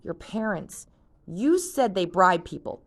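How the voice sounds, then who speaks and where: A woman's voice: mid-range voice, very animated, slow, heavily acted. A woman reading aloud, voicing a frightened, doubtful young boy. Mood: panicky. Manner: panicky and doubtful.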